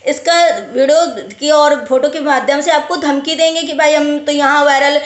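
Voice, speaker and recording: A woman's voice: sits high, steady tone, normal speed. A woman vlogger talking casually to viewers and giving advice, explaining with emphatic repetition. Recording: roomy, echoey sound.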